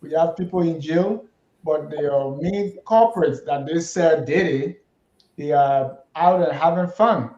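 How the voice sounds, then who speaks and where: A man's voice: a little high, a little slow, mellow. A man hosting a panel discussion, talking in a reflective, hesitant way with many restarts. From Liberia. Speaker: host. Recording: echoey, dull lo-fi sound.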